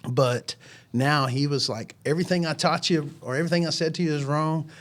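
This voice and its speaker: A man's voice: fairly high pitch, fairly even intonation, a little fast. A man in his forties, a ministry founder, telling his story as a podcast guest in an earnest, rambling way with many restarts. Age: 40s. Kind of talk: casual chat.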